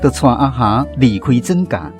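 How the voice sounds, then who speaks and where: Male radio host and narrator, Taiwanese accent, medium pitch, scripted read over background music. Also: expressive, moderate pace.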